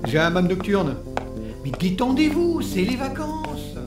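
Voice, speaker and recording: A man's voice: very high voice, very animated, unhurried, over music, exaggerated voice. A man playing a carefree, dismissive resort guest in an amateur audio drama, flippant and breezy.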